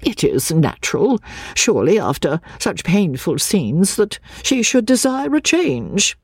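A woman's voice: mid-range voice, big pitch swings, unhurried, slightly rough, dry recording, clean studio sound, voice pushed up, heavily acted. A woman reading a storybook as a prim, fretful spinster cousin, martyred, secretive and intense. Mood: prim, aggrieved. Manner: evasive, intense, self-pitying, sometimes dropping to an urgent whisper.

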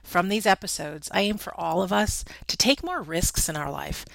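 A woman's voice: fairly low pitch, expressive, moderate pace, creaky, fairly bright tone, no echo. A woman hosting an interview podcast, upbeat and emphatic as she introduces and questions her guest. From Connecticut. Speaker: podcast host.

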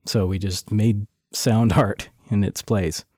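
A man being interviewed, talking casually and hesitantly with many pauses and restarts. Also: on the low side, steady tone, mellow, no echo, studio quality. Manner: hesitant, lots of uhs and restarts. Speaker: interview guest, sound designer.

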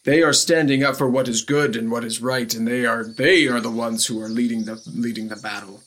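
A man's voice: mid-range voice, fairly even intonation, fairly quick, a bit bright, natural voice. A man voicing a bumbling, well-meaning orc bard in a tabletop game, hesitant and stammering. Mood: earnest, flustered.

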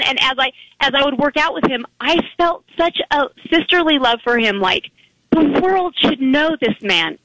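An older woman with a hoarse voice, calling in to a radio show and giving an earnest, emotional testimonial full of halting restarts. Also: fairly high pitch, bright, forceful tone, dry recording, low-quality recording. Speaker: caller. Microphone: phone line.

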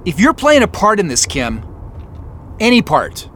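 A man's voice: high voice, huge pitch swings, measured pace, fairly bright tone, a little hiss or hum, full character voice. A man acting in an audio drama as a stressed, suspicious, sarcastic man on the run. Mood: agitated. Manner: sarcastic, agitated. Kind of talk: audio drama acting with first-person narration.

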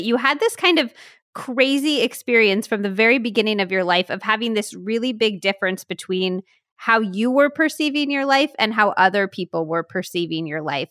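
A woman's voice: medium pitch, a bit bright, dry recording, studio quality. A woman hosting a podcast, bubbly and effusive as she asks questions and reads an ad. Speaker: podcast host. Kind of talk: enthusiastic interviewing and ad read.

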